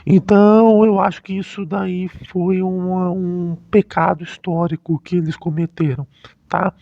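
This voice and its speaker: High-voiced Brazilian man, halting delivery. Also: flat delivery, vocal fry. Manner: halting, frequently rephrasing mid-sentence. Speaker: history YouTuber. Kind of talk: casual unscripted commentary. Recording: dull, lo-fi, roomy sound.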